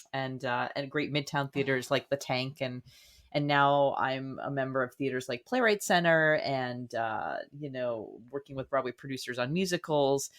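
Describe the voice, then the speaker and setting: A woman talks conversationally as a guest in an interview. She is effusive and leans on her words with emphasis, like a writer explaining her craft. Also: rumbling low. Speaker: guest, playwright.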